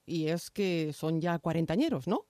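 A woman's voice: lowish voice, lively intonation, moderate pace, dark tone, dry recording. A woman hosting a radio theatre program, interviewing guests in a chatty way with many fillers. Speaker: radio host. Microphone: studio mic.

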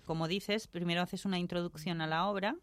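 A woman's voice: fairly low pitch, fairly even intonation, a little fast, no echo. Teasing woman radio co-host. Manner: teasing, recaps the details. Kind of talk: casual radio chat.

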